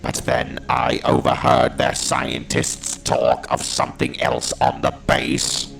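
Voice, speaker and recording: A man's voice: medium pitch, big pitch swings, slow pace, gravelly, fairly bright tone, faint music behind, exaggerated voice. A man playing a ruthless, defiant alien war machine in an audio drama, with staccato, menacing delivery and robotic modulation. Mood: cold, defiant.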